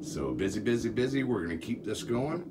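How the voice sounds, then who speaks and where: A man's voice: mid-range voice, sing-song, a little fast, noisy background. A man filming a casual how-to vlog in a large indoor workspace, talking folksy and enthusiastic with lots of fillers. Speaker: haunted attraction builder.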